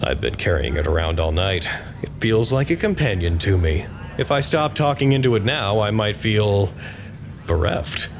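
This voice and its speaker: An actor playing a reflective, gentle facility worker, narrating an audio drama log in a measured, formal, introspective way. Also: male voice, fairly low pitch, very animated, noisy background. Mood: gentle, reassuring.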